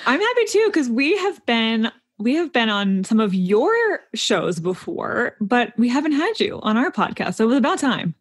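A woman's voice: a little high, very animated, normal speed, hardly any pauses, no echo, studio quality. A woman co-hosting a podcast, asking encouraging questions in casual chat. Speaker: podcast co-host.

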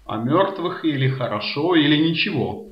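A man's voice: a little high, animated, normal speed, muffled recording, close to an everyday voice. Man playing a solemn, preachy cultist, roomy sound. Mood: sanctimonious. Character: earnest, sanctimonious male cult follower of frost. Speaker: radio guest. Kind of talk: comedy radio sketch acting.

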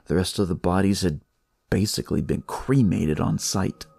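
Husky, gravelly noir detective, read by a man. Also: low voice, moderate pace, dark tone, dry recording, clean studio sound, full character voice. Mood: wry, confiding. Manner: wry, conversational, world-weary. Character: jaded, crude male police detective telling his story. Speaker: narrator. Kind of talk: noir first-person scripted narration.

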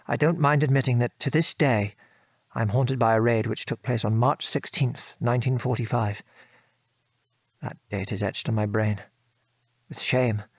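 A man's voice: medium pitch, no echo, natural voice. An actor playing a modest, remorseful English father and former RAF bomb aimer in an audio drama, with a British accent and hesitant, genteel speech. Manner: hesitant, reflective, genteel old-fashioned turns of phrase. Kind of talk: audio drama acting, reminiscing monologue.

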